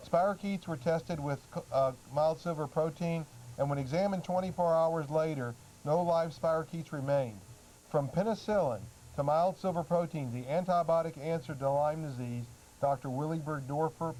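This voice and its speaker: Give me a slightly high-voiced male TV guest giving hesitant, matter-of-fact explanations over a faintly noisy old broadcast. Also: darkish tone. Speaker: guest health author promoting remedies. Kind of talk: explanatory talk-show answers, some reading aloud. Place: public access television studio. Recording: noisy old public access TV audio.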